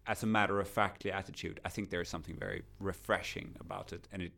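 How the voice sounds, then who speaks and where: A man from Sweden, a native Swedish speaker, talks through a casual podcast as the guest. His voice sits very low and has a gravelly grain. He speaks in a measured way and drops in dry, witty asides. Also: steady tone, bright, forceful tone, faint music behind. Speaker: guest lecturer.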